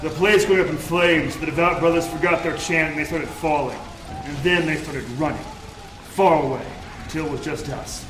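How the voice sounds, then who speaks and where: An actor playing a hardboiled private eye in a noir audio drama, terse and dramatic. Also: male voice, on the high side, normal speed, over music, close to an everyday voice, poor audio. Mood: narrating. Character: hardboiled male private eye narrating his case. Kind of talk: scripted noir narration and acting.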